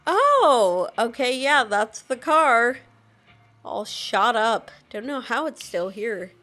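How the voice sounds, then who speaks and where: A woman's voice: on the high side, sing-song, slow pace, pushed and bright. A woman playing a video game, reacting casually and informally in character. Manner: informal, sweary. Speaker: game player.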